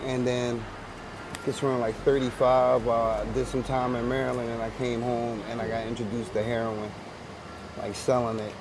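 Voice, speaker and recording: A man's voice: medium pitch, flat delivery, moderate pace, crowd noise, low-quality recording. A man in his forties with a Philadelphia accent, talking casually in street slang, often repeating himself and restarting his sentences. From Philadelphia, USA. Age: 40s. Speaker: interviewee. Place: outdoors on a busy city street. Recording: outdoor street recording with passersby.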